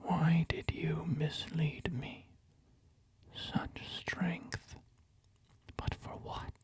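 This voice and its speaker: A man in a slow, hushed whisper, voicing a jealous male devotee who dreams of his saint's poison. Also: a little high, level tone, voice pushed up. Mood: despairing.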